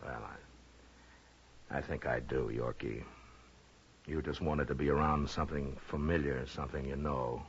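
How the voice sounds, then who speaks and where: Very deep-voiced, kindly frontier marshal. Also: male voice, steady tone, moderate pace, vocal fry, pauses often. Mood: gentle, reassuring. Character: calm, fatherly frontier marshal, gentle and persuasive. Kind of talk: 1950s western radio drama acting. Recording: muffled old radio recording with hiss.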